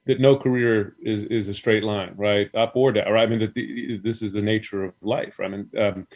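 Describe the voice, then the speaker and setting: A man's voice: lowish voice, steady tone, moderate pace, a little mellow, dull audio. Rambling man on a video call. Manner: rambling, self-interrupting. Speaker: guest. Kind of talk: casual chat. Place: home room.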